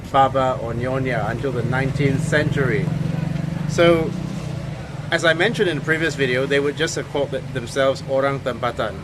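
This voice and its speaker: A man from Penang, Malaysia, giving an earnest opinion monologue as host of his video channel, often pausing with fillers. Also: mid-range voice, background noise. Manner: earnest, hesitant with frequent fillers.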